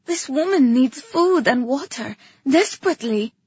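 A woman's voice: high-pitched, animated, moderate pace, slightly rough, dry recording, muffled recording, pitched above the performer's own voice, heavily acted. A woman voicing an earnest, devout queen who addresses her king with deference in an audiobook. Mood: urgent, pleading. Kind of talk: audiobook character acting.

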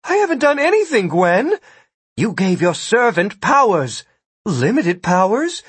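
Haughty villainess played by a man, high, husky, self-justifying, fiction audiobook. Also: moderate pace, fairly bright tone, no echo. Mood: indignant. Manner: defensive, haughty. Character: proud, self-justifying woman villain, cool and unrepentant.

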